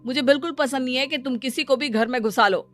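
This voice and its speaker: A woman's voice: sits high, fast talker, a bit bright. A woman playing a dismissive, complaining middle-aged father in a cartoon story. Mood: irritated. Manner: sarcastic, scornful, complaining. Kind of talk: acted cartoon story dialogue. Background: music.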